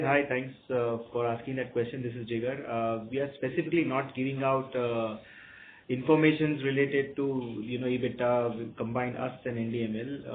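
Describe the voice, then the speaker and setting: Even-toned Indian executive, phone-line sound. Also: male voice, mid-range voice. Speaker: company executive. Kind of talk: formal answers on an earnings call. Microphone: conference call line. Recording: narrow phone line with faint noise.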